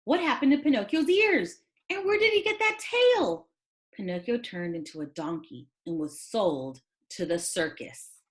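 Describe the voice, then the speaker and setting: Animated woman reading a children's story. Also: on the high side, unhurried. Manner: expressive, dramatic storytelling for children. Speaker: teacher reading aloud to pupils.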